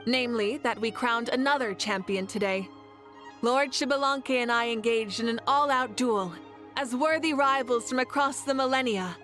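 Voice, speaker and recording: A confident female ruler played by a woman makes proud, commanding toasts in a somewhat high voice. Game music plays softly in the background. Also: normal speed, natural voice. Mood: regal. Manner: commanding, laughs easily. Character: confident female fire ruler, regal and warm. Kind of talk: game voice acting.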